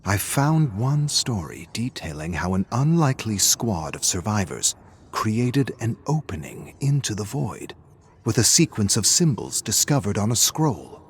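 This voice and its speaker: A brooding archivist in a game, deep low voice, slow careful journal entries, bit of noisy ambience behind. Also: male voice, sing-song. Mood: intent, hopeful. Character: obsessive male archivist, trapped, brooding, determined to escape. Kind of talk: scripted in-character journal narration for a video game.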